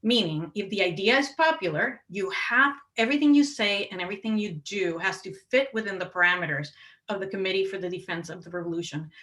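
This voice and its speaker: Bright-voiced woman panelist telling stories. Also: medium pitch. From Puerto Rico. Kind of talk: panel discussion, personal anecdote and argument.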